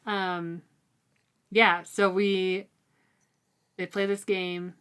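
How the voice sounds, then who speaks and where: A woman co-hosting a casual, informal book discussion, talking in a halting, conversational way. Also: mid-range voice, flat delivery, a bit bright, clean tone. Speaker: co-host of a book read-along discussion.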